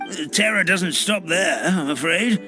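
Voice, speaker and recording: An actor voicing a forgetful, kindly old storybook forest creature in a game, with a theatrical, hesitant, rambling delivery. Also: male voice, sits high, a little slow, sharp tone, soft background music. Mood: grave, dramatic. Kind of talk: animated game character acting.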